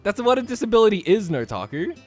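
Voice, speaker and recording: Young man, lively varied pitch, casual streamer chat, reading aloud, music in the background. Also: quick pace. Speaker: streamer reading a visual novel with friends. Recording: music behind the voice.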